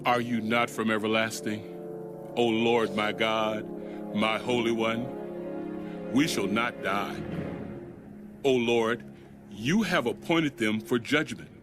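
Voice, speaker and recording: Solemn pleading prophet, slow over music male actor. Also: on the low side, steady tone. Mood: reverent questioning. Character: anguished Hebrew prophet pleading with God. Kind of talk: dramatized audio Bible reading. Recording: music bed under the voice.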